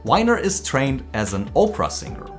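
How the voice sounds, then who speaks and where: A man in his late twenties with a German accent, hosting a scripted video essay on learning languages in a lively, animated way. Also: mid-range voice, a little fast, faint music behind.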